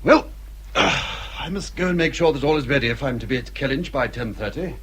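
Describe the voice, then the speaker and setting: An actor plays a hearty young English country gentleman in a period drama. His voice sits in the middle of the male range and his manner is easygoing and offhand. There is faint background noise on the recording. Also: mid-range voice, expressive, normal speed, lightly acted. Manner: bluff, offhand, genial. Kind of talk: British period radio drama acting.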